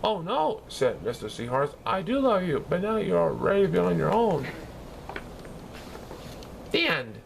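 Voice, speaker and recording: An excitable cartoon sea sponge reads a children's storybook with childlike eagerness. The voice sits high and leaps wildly up and down, tripping over words now and then. There is faint noise behind it. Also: male voice, unhurried, creaky, long pauses, heavily acted, low-quality recording. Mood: bubbly storytelling. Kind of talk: children's storybook read-aloud in character.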